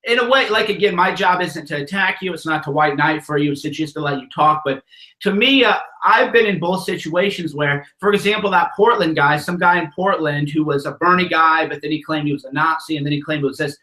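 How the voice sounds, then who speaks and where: A man's voice: fairly high pitch, a little fast, bright, forceful tone, reverberant, poor audio. A man hosting a casual interview, asking questions and giving his frank take with frequent restarts. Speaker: host of call-in show.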